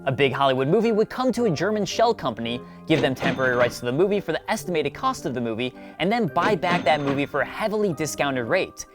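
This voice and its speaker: A man's voice: on the high side, lively intonation, fast, few pauses, music in the background. A man hosting a video essay, reading scripted narration with wry, conversational asides. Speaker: host of a video essay series.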